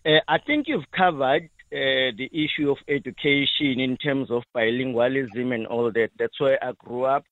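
A man with a South African accent, a native isiZulu speaker, calling in to a radio show and speaking haltingly with many pauses. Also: medium pitch, no echo. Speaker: caller. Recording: tinny phone line.

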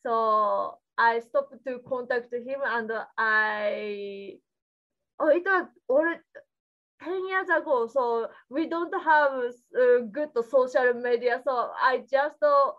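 A woman from Japan with a Japanese accent, speaking halting, simple English as an interview guest in casual talk, giggly in manner, on dull lo-fi audio. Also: fairly high pitch.

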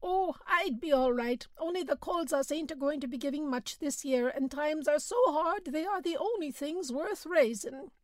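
A man's voice: very high voice, normal speed, hardly any pauses, no room sound, voice pushed up. A woman reading a bony old peasant farmer who complains in rustic speech. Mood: grumbling.

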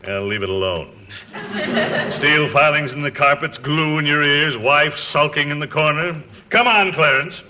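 An actor playing a crusty, hearty older college trustee, gruff and impatient, in a 1940s radio comedy. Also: male voice, fairly high pitch, unhurried, bright, forceful tone. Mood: genial. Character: blustery, crusty, jovial old college trustee. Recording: faintly noisy old 1940s radio recording.

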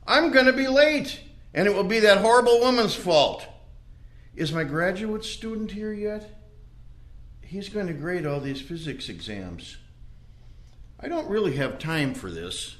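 Man acting slow, fretful old professor, echoing room. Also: sits high, big pitch swings, a bit bright, exaggerated voice. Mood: irritable. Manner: fretful, self-important. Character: confused, irritable old male physics professor. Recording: roomy, echoing sound.

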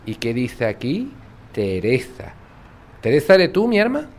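A man's voice: medium pitch, huge pitch swings, a little slow. A man playing a kindly, curious old grandmother with a Sevillian accent, chatty and affectionate, in an audio drama. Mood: curious, admiring. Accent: Sevillian Andalusian. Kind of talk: naturalistic audio drama dialogue.

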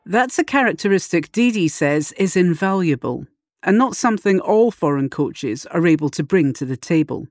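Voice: mid-range voice, lively intonation, normal speed, dry recording. A host narrating a scripted report and putting questions to a guest.

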